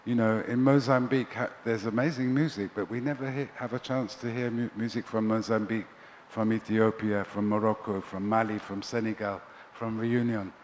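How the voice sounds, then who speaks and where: A man's voice: lowish voice, fairly even intonation, normal speed. A man who organizes a festival, speaking as a panelist in an earnest, persuasive way. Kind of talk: panel discussion. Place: large conference hall. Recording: long hall reverb, faint background noise.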